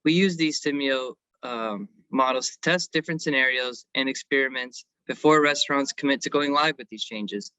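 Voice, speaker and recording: A man's voice: mid-range voice, moderate pace, few pauses, bright, forceful tone, clear, resonant tone, no echo. A man giving a semi-scripted technical presentation as an engineering consultant, on a slightly dull recording.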